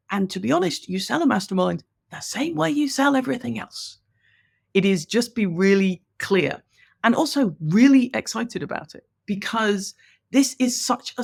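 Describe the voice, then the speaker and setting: A woman's voice: mid-range voice, creaky, slightly rough. A woman from Ireland with an English accent, chatting enthusiastically as a podcast guest and laughing as she explains. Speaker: guest, business coach. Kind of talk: conversational podcast interview.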